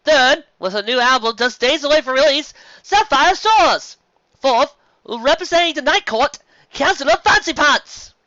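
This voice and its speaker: A man voicing a showy pony master of ceremonies, loud, formal and proclaiming, on a dull lo-fi recording. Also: extremely high pitch, expressive, measured pace, no room sound, pitched above the performer's own voice, heavily acted.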